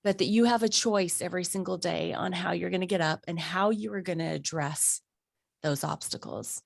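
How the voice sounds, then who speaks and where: A woman hosting a podcast interview, a little raspy from a cold, upbeat and affirming. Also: fairly low pitch, normal speed, dry recording. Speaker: podcast host. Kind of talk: warm conversational interview.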